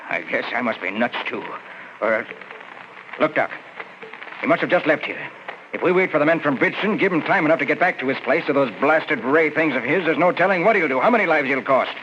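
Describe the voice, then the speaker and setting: An actor playing a plain-spoken, urgent small-town police sergeant in an old-time radio horror drama. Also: male voice, a little high, lively intonation, fairly quick, close to an everyday voice. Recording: muffled, hissy old radio recording.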